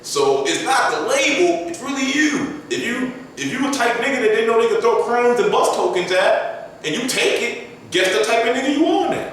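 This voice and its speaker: A young adult man, a rapper guest, talking casually and slangily on a podcast, his voice jumping up and getting loud when he gets animated. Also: moderate pace. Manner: laid-back, slangy, climbs high and loud when animated. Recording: echoey room, distant mic, faint noise.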